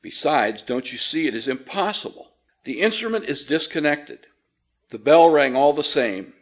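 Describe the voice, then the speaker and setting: A man's voice: a little high, expressive, moderate pace, pitched above the performer's own voice. A man voicing a grave, self-possessed widowed country magistrate in an audiobook, speaking formally and firmly. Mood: firm, uneasy. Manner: firm, formal, clipped. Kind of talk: audiobook character voice.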